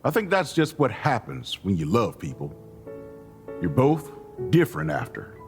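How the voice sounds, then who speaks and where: Audio drama role: folksy male mentor, mid pitch with lively swings, gently coaxing, music beneath. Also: measured pace, creaky, exaggerated voice. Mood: reassuring, frank. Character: folksy, warm-hearted older mentor friend, gently teasing. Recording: music underneath.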